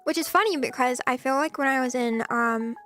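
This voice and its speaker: Soft-spoken high-voiced young woman streamer. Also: a little fast, soft background music. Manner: soft-spoken, chatty, giggly. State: slightly scratchy throat. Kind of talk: casual chat.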